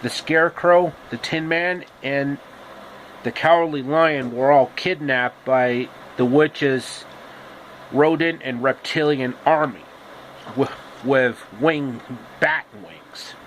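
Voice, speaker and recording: A man telling a story informally, with halting, deliberate phrasing and casual asides. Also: medium pitch, a bit bright, a little hiss or hum, low-quality recording. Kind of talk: informal, improvised storytelling with pop-culture asides.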